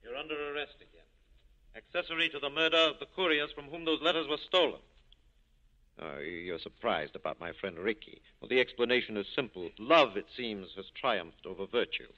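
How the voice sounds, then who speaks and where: An actor playing a suave, corrupt police captain with an urbane, droll manner in 1940s radio style. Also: male voice, medium pitch, expressive, a little fast, sharp tone, highs cut off.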